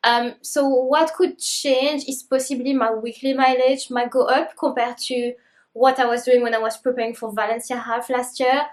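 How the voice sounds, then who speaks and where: A woman vlogging about her running plans, upbeat and methodical as she lists her race times. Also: high-pitched. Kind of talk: talking to camera. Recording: roomy echo.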